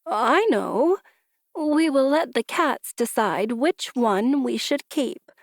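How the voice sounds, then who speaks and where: A woman's voice: sits high, lively intonation, slow, no echo, studio quality, heavily acted. A woman voicing a very old wife in a storybook, exasperated and practical, scolding with emphatic phrasing. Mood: decisive.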